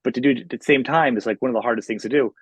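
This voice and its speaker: A man's voice: mid-range voice, racing, husky, no room sound. A man hosting a podcast, asking chatty, eager questions. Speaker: podcast host. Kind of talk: casual interview questions.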